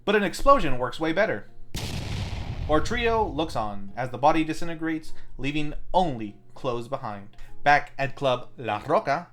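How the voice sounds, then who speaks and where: A man's voice: fairly high pitch, fairly bright tone. A man hosting a film review, reading a scripted plot recap and adding wry commentary of his own. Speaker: film review show host.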